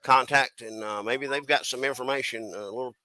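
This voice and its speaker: Man, from the US East Coast, casual panelist, bright tone, dry recording, folksy, hesitant delivery. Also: mid-range voice, fairly even intonation, brisk, no echo. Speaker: panelist on a crime chat stream. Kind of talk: casual chat, giving opinions.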